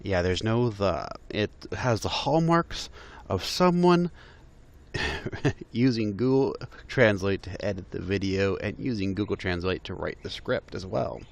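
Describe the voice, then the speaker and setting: A man's voice: on the low side, lively intonation, a little slow, creaky. A man giving exasperated, mocking reaction commentary on a video, laughing through his remarks.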